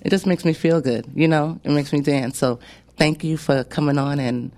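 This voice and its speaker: A woman's voice: low voice, fairly even intonation, normal speed, darkish tone. A woman hosting a radio music show, chatty and enthusiastic as she talks with her guests. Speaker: radio host. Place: radio studio.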